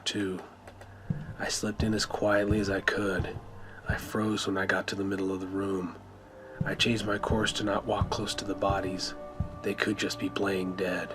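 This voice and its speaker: A man reading scripted first-person narration for a horror radio play in a measured storytelling manner. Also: deep voice. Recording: music bed under narration.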